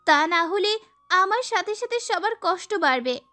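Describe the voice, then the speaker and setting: A woman playing a sweet, pert young daughter in an audio love story, with a girlish, expressive delivery. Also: very high voice, measured pace, full character voice. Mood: anxious. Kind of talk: amateur audio story acting. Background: background music.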